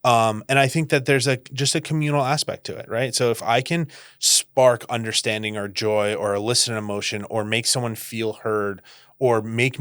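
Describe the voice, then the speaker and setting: A man who grew up in the Northeastern United States, talking as a guest on a podcast. He is animated, laughs a lot and fills his sentences with "like". His voice sits mid-range and is recorded close and dry. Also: mid-range voice, dry recording. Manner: animated, laughs often, lots of "like" fillers. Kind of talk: casual conversation.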